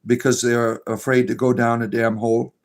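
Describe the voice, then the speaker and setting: A middle-aged man from Florida, hosting a casual livestream and answering viewer comments in a rambling, drawn-out way with many fillers. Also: mid-range voice, little pitch movement. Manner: rambling, full of fillers and restarts, drawn-out narrator delivery. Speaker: livestream host.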